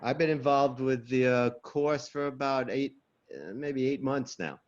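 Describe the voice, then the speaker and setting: Older male, Long Island New York accent, mid-pitched, informal co-host chat over dull video-call audio. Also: measured pace. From Long Island, New York, USA. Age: 60s or older. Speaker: co-host of an online spiritual study group. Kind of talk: casual group video-call introduction.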